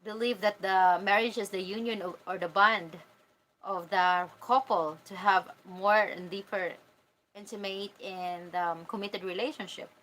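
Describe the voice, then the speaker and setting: Filipina accent woman, 30s, casual candid livestream chat, mid pitched voice. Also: fairly even intonation, a little slow, fairly bright tone, poor audio. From Philippines. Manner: candid, informal, talking directly to viewers. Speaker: vlogger answering live chat comments.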